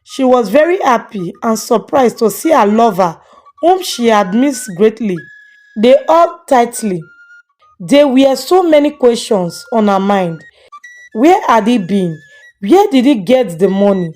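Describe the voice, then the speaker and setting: High-voiced woman storyteller. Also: animated, measured pace, darkish tone, faint music behind. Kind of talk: scripted moral folktale narration.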